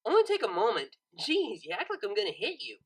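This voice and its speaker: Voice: medium pitch, wildly swooping pitch, brisk, breathy edge, voice pushed up. A narrator voicing a brash, pushy young unicorn mare in an audiobook.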